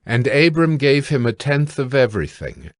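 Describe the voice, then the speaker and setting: A man narrating scripture from a script in a measured, deliberate way. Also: mid-range voice, sing-song, no echo, clean studio sound.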